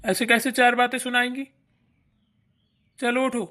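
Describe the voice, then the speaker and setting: A woman's voice: a little high, flat delivery, measured pace, a bit bright. A woman voicing a protective young husband and grown son in a family cartoon, firm and reproachful. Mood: concerned, insistent. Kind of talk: Hindi family cartoon acting.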